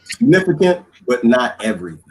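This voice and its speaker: A man's voice: medium pitch, a little fast, rough recording. An American man hosting a casual group chat on a livestream. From United States. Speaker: livestream panel host. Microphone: online group call. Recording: steady background noise on livestream call.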